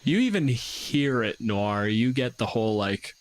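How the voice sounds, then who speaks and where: A man's voice: mid-range voice, sing-song, measured pace, no room sound. Informal, sweary man running a game. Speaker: game master. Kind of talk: casual tabletop game narration.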